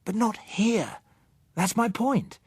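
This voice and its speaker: A man's voice: sits high, very animated, slow pace, husky, dry recording, studio quality, voice pushed up, heavily acted. A man playing a suspicious, agitated man in an audiobook, arguing his fears with insistent emphasis. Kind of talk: audiobook character acting.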